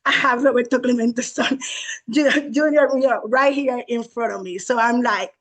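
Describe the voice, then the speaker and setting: A woman's voice: fairly high pitch, moderate pace, a bit bright. A woman from Puerto Rico with a Puerto Rican accent, interviewing guests with long, effusive questions.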